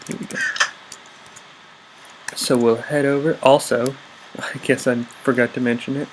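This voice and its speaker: A man's voice: mid-range voice, background noise. A young man with a stuffy, congested voice, giving casual upbeat gaming commentary full of ums and self-corrections. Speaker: gaming YouTuber. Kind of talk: casual Let's Play commentary.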